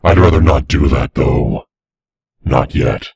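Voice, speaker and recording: A man voicing a gruff, threatening supernatural skeletal bounty hunter in an amateur audio drama, with a deep gravelly voice. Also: takes it slowly, a little mellow, dry recording, clean studio sound, exaggerated voice. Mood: gruff, taunting. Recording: music behind the voice.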